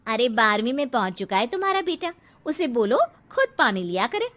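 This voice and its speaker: A woman's voice: fairly high pitch, very animated, brisk, a bit bright. A woman voicing an outspoken, chatty middle-aged housewife in a cartoon story, frank and full of advice. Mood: exasperated advice. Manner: frank, advising.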